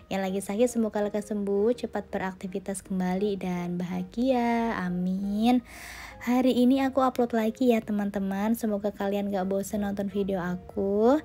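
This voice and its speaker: A woman in her thirties chatting casually to viewers in a cooking vlog voice-over, slightly hoarse and nasal from a sore throat. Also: medium pitch, fairly even intonation, normal speed, warm tone. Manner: chatty, friendly, addresses viewers as friends, many fillers. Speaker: homemaker vlogger. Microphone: phone recording.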